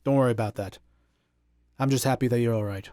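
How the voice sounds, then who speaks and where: A man's voice: mid-range voice, fairly even intonation, fast talker, mellow, dry recording, studio quality, natural voice. An actor playing a brave, earnest male hero in an audio drama. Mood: subdued, caring.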